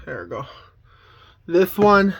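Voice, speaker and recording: A man casually showing items from a collection in a plain, halting, item-by-item way. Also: high-pitched, sing-song, creaky, a bit bright. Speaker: collector showing weather radios. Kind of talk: casual show-and-tell vlog.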